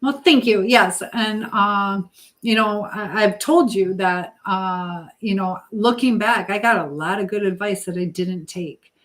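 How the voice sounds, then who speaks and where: A woman hosting a live chat, speaking earnestly and haltingly with pauses as she gives advice and encouragement to viewers. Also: medium pitch, a bit bright. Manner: religious encouragement. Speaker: live stream host.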